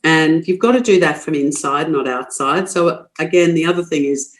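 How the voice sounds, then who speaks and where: A woman's voice: deep voice, moderate pace. An older Australian woman speaks her mind in a podcast interview, blunt and forthright. She laughs easily and often restarts her sentences as she thinks aloud. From Queensland, Australia. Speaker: guest.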